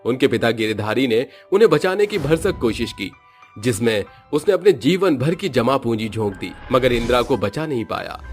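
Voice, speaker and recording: Male storyteller, medium pitch, soft music. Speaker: narrator. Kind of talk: scripted storytelling narration. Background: background music.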